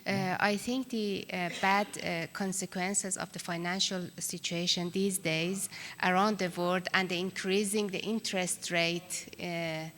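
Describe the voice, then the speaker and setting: A woman's voice: lowish voice, steady tone, unhurried, hardly any pauses, fairly bright tone, slight background noise. A woman moderating a conference panel, speaking hesitantly with many fillers. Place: large conference hall. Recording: long conference hall reverb.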